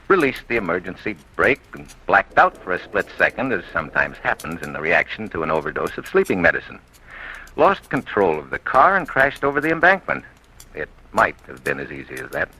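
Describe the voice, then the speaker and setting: A shrewd, calm blind detective from an old radio thriller. His low voice rises and falls widely with a bright, clear edge, and he probes in a measured, dry way. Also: full character voice, poor audio. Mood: probing. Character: blind male police captain, calm and shrewd. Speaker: detective narrator. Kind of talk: 1940s radio acting.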